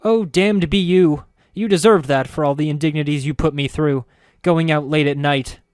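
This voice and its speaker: Guy putting on a bubbly sweet young girl, way high and loud, bouncing all over the place, dry close sound. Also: sing-song, fairly quick, no echo. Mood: mock furious. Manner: bubbly, breathless and eager to please. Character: perky, sweet-natured young woman who hates being mean.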